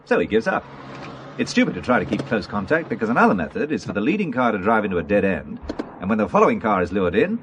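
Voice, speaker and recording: A secretive middle-aged businessman in a radio thriller. His low voice moves with lively swings, dry and evasive, treating danger lightly. A faint noise sits behind him. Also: fast talker. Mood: brisk, absorbed. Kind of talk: radio drama acting.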